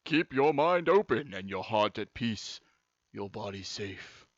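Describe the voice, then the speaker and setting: A man voicing an ominous, mysterious announcer for an occult club, reading slowly and dramatically. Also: fairly low pitch, lively intonation, no room sound, highs cut off. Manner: slow, dramatic, portentous delivery.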